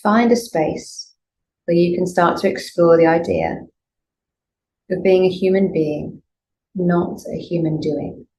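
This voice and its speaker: Low-pitched woman guiding a meditation. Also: lively intonation, rough recording. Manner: gentle, soothing, unhurried instruction with pauses. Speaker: yoga nidra teacher. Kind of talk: guided meditation. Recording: clear background noise, room ambience.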